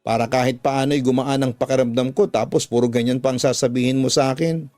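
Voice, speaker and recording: A man's voice: mid-range voice, steady tone, fast, darkish tone, no echo, close to an everyday voice. Man voicing a defensive young woman. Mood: pleading. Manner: pleading, defensive. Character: guilty, defensive young woman confessing an affair. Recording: background music under the voice.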